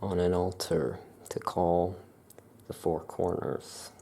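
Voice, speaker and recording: Low, creaky, slow ASMR soft speaker. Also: slight background noise. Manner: soft-spoken, calm, unhurried explaining. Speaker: witch shop keeper presenting amulets. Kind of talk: ASMR soft-spoken shopkeeper roleplay.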